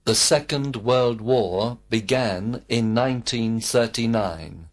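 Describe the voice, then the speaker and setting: A man giving slow, complete textbook answers as a model student in a recorded English lesson. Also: mid-range voice. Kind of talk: scripted full-sentence answers.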